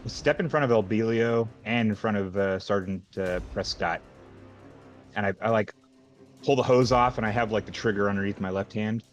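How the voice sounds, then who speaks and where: Low-voiced man at a tabletop game. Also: moderate pace, muffled recording. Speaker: player. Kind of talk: describing his character's actions in a tabletop game. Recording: music behind the voice. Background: music.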